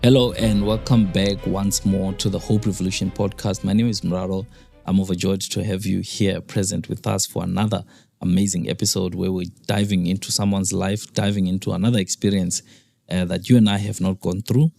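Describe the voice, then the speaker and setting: A man's voice: low-pitched, level tone, a little fast, gravelly, mellow, soft background music. A man hosting a podcast, talking in a chatty, upbeat way with many fillers while fighting a flu. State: has a flu, deep hoarse voice. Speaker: podcast host. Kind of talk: podcast interview. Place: studio.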